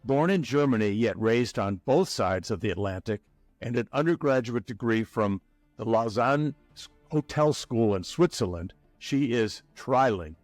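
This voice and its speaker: This is a man hosting an interview podcast, reading guest bios and then chatting easily. He often stumbles and repeats a word before his question comes out. His voice sits at a middle pitch and sounds close and dry, with little room. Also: animated, moderate pace, no room sound, faint music behind. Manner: chatty, repeats words when starting. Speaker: podcast host.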